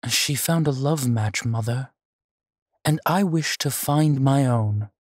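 A composed young prince, played by a man in a fairy-tale audio drama. He speaks formally and slowly in a husky voice, recorded close and dry. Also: medium pitch, no echo. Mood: calm, firm. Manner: measured, formal.

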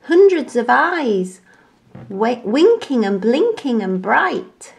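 A woman voicing an excitable, easily alarmed young male monkey in a children's storybook. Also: a little high, takes it slowly, vocal fry, voice pushed up, exaggerated voice. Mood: alarmed wonder. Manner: exclaiming, excitable.

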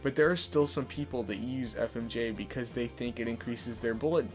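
A young man giving casual, chatty commentary over his gameplay, explaining tips as he plays. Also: brisk. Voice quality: deeper voice. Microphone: desk condenser mic. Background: soft background music.

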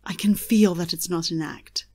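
A woman playing a gentle, knowing fortune teller in an audio drama. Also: lowish voice, steady tone, moderate pace, lightly acted. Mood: protective.

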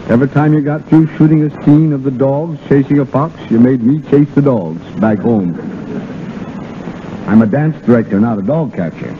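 A man's voice: mid-range voice, moderate pace. An American man as a guest on 1930s radio, giving scripted banter in a wisecracking, colloquial way. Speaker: radio guest, dance director. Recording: faintly noisy, narrow-band 1930s radio.

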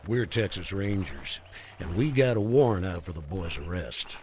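An actor playing a commanding false Texas Ranger in a western game, authoritative and curt. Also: male voice, sits low, lively intonation, moderate pace, darkish tone, a little hiss or hum. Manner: authoritative, curt, barking orders. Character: commanding bandit leader posing as a Texas Ranger. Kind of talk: western video game acting.

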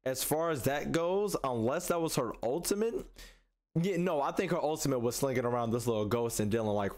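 A man in his twenties with an American accent, giving casual, slangy commentary as a reaction host and repeating phrases for emphasis. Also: mid-range voice. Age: 20s. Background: game trailer music and sound.

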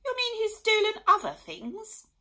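A woman voicing an indignant old queen who speaks loudly in a high, haughty voice. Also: very animated, measured pace, exaggerated voice. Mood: imperious.

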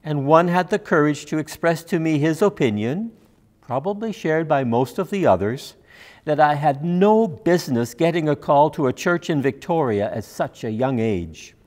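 An older man, a minister giving a sermon in a measured delivery from a church pulpit. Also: a little high, animated, darkish tone.

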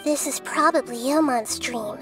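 A woman voicing a wise, childlike girl deity in a video game, composed and explaining things in a measured way. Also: high-pitched, over music. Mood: matter-of-fact.

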